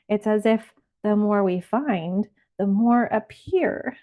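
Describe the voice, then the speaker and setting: A woman leading a guided visualization as a relaxation guide, speaking in a soothing, unhurried way. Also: on the high side. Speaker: laughter yoga leader, meditation guide. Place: live online or group session recording. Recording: band-limited, phone-like sound.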